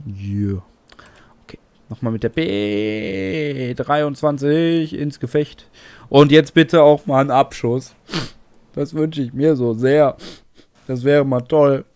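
Excitable man commentating a flight game. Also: medium pitch. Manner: excitable, swears often, talks to himself. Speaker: gaming channel host. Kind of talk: let's play commentary. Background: game music.

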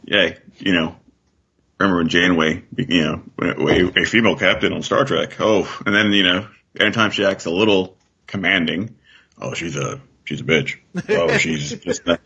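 A middle-aged American man chats as a podcast guest in a low, husky voice. He is informal and halting, often repeating himself. The audio is dull and lo-fi. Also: bright, forceful tone. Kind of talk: casual chat.

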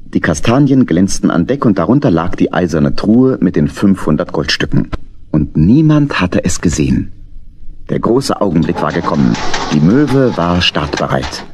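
Deep, husky male storyteller. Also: very animated, normal speed, a little mellow. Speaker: narrator. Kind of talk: scripted storytelling for a children's radio play.

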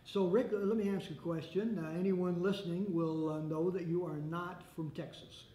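An older man hosting a radio interview at a hotel, asking questions in a halting way with many restarts. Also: high voice, steady tone, dark tone. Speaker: radio host. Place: hotel meeting room by windows. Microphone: desk microphone, headphones. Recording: roomy with faint ambient noise.

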